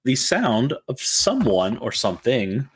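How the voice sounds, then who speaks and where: A man runs a tabletop game in a casual, improvised style. His pitch moves around a lot while his pace stays slow. He feels his way through the narration, stopping and correcting himself. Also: very animated, a little hiss or hum. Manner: halting, self-correcting delivery. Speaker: game master.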